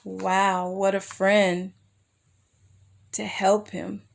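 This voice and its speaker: Slow-paced woman reading to children. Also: mid-range voice, level tone, creaky. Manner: addresses young listeners with simple questions. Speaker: children's storyteller. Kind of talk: read-aloud children's picture book narration with asides.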